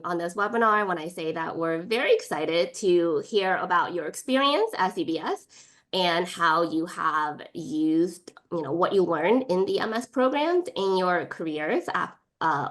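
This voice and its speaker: A woman's voice: on the low side, lively intonation, normal speed, fairly bright tone. A woman hosting an online panel as moderator, polite and organized, with frequent fillers, on a video call. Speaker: moderator, admissions director.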